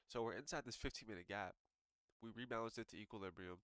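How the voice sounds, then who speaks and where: Male day trader, lowish smooth voice, slightly congested, easygoing chatty chart walkthrough. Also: quick pace, a bit bright, dry recording. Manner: loose and conversational, frequent "um" and "so". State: stuffy and congested. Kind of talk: casual screen-share market walkthrough.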